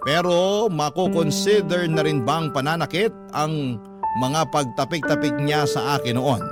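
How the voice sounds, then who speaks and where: A man with a Filipino accent reading a listener's letter as an emotive, scripted radio narration. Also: fairly high pitch. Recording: loud music bed behind the voice. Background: loud instrumental music.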